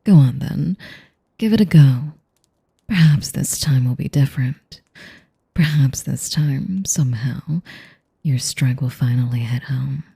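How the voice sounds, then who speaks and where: Woman acting ancient female vampire, low-pitched, very breathy half-whisper, seductive, menacing, condescending, audio roleplay. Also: warm tone, exaggerated voice. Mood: mocking, amused. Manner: slow, velvety taunting with condescending endearments. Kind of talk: audio roleplay addressed to the listener.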